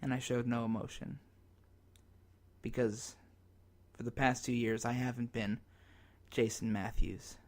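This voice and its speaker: A man playing a despairing amateur radio broadcaster in a zombie apocalypse radio drama, speaking in halting, dramatic phrases. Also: medium pitch, flat delivery, lightly acted. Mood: subdued, numb.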